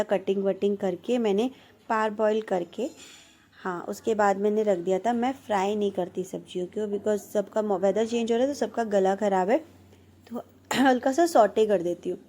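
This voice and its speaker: A woman in her thirties from India, narrating her home vlog in a chatty, relaxed way, her throat slightly hoarse. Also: medium pitch. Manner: chatty, relaxed, self-teasing. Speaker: vlogger. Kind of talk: casual voice-over narration.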